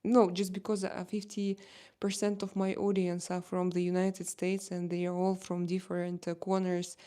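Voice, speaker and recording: A woman's voice: fairly low pitch, monotone, moderate pace, mellow, smooth. Russian woman chatting on a live stream. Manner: chatty, non-native English with frequent fillers and restarts, greets viewers by name. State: just back from a workout. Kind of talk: casual live chat reading viewer comments.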